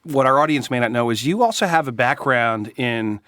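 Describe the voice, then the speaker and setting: A man of middle pitch hosts a podcast and asks guests friendly, leading questions. His voice sounds close and dry. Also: lively intonation, fairly quick, a bit bright, no room sound. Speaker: podcast co-host. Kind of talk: conversational podcast interview.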